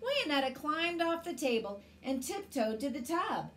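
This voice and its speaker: A woman's voice: sits high, very animated, measured pace, fairly bright tone, a little hiss or hum. A woman in her forties reading a children's story expressively as a storyteller, indoors.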